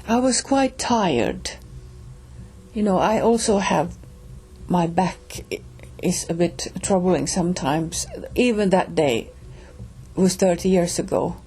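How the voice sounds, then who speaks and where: A middle-aged woman with a Finnish accent, telling a personal story slowly, with pauses and restarts. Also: lowish voice, expressive, warm tone, slight background noise. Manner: slow, halting, with pauses and restarts. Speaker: reader and storyteller.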